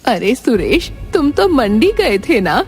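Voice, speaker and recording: A woman's voice: fairly high pitch, sing-song, slow pace, darkish tone, big-room sound, faint music behind, voice pushed up. A woman voicing a suspicious, scolding village mother in a Hindi moral story, questioning sternly.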